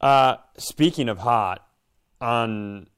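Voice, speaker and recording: A man's voice: mid-range voice, extremely slow, smooth. A man co-hosting a podcast, chatting casually and telling comic stories with some swearing. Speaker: podcast co-host. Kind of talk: casual comedic banter.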